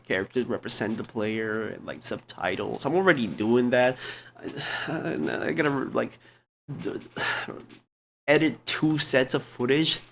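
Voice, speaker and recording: A young man streamer with a low speaking voice, talking in a rambling, slangy way full of restarts and fillers, close to a desk mic at home. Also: gravelly, a little hiss or hum. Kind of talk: casual chat. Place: home computer room.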